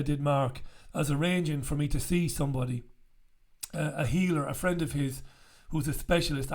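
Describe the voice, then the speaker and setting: A man in his forties, croaky after an illness, chatting bluntly and casually to camera as a show host in a small room with a studio mic. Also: on the high side. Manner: chatty, blunt, swears freely. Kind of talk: casual direct-to-camera chat. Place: small bright room indoors. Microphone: studio mic on stand in front.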